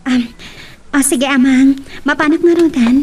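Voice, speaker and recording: A woman's voice: high voice, a little slow, darkish tone, a little hiss or hum. A woman with an Ilocano accent playing a hurt, reserved young woman in love in an amateur audio drama. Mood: subdued.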